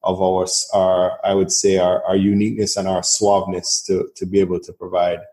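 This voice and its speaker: A man's voice: low voice, completely flat delivery, moderate pace, dark tone, a little hiss or hum. A Jamaican man, a business guest on a podcast interview, who talks fluently but often repeats words while he finds his point. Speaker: business process outsourcing guest.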